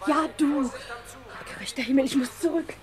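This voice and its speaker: An actress playing an exasperated, reproachful wife with a dramatic manner, in a German radio drama. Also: female voice, high voice, normal speed, noisy background, lightly acted.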